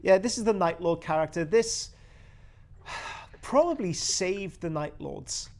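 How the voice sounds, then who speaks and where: A man's voice: high voice, expressive, slow pace, darkish tone. Enthusiastic Welsh man chatting about miniatures. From Wales. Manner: enthusiastic, rambling with restarts. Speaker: host. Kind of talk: casual hobby chat.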